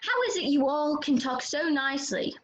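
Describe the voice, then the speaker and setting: A woman's voice: high voice, normal speed, close to an everyday voice. A woman playing a curious, polite but easily exasperated little girl in a children's read-aloud play. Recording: roomy, slightly dull sound.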